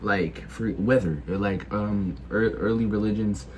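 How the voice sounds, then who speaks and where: A teenage boy speaks in a low register, audibly sick. He talks haltingly and keeps restarting his sentences with filler words. A faint background noise sits under his voice. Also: a little mellow. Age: teens. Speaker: video creator. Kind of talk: casual opinion monologue.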